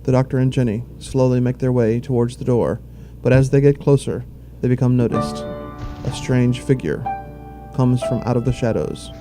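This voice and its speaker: A man's voice: mid-range voice, normal speed, over music. A man reading scripted narration for an audio drama in the role of narrator.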